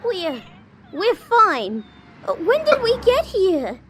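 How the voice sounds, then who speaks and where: Woman playing a high-pitched, bewildered civilian wife. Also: huge pitch swings, takes it slowly, full character voice. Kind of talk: audio drama acting. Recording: noisy street ambience, vehicle rumble.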